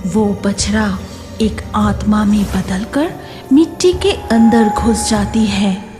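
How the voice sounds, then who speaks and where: A woman's voice: medium pitch, takes it slowly. A woman narrating a scripted horror story as the storyteller. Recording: music behind the voice.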